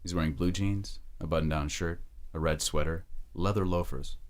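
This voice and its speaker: A man's voice: deep voice, fairly even intonation, moderate pace. A man narrating an audio drama in a scripted, present-tense storytelling style.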